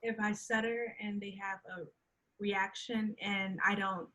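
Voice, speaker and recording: A young woman who stutters, speaking slowly and in a flat, even tone. She answers in an honest, reflective way, with repeated words and fillers. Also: mid-range voice, rough recording. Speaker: guest. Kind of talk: conversational interview.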